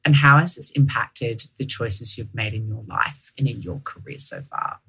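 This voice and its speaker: A woman's voice: extremely low pitch, moderate pace, gravelly, fairly bright tone, husky, no room sound, rough recording. A woman hosting a podcast, talking in a casual, enthusiastic and gushing way from her apartment. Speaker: podcast host.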